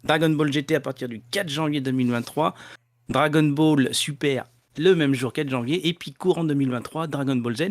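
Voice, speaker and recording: A man's voice: fairly high pitch, normal speed, no room sound. A man casually announcing news as a columnist on a chat show.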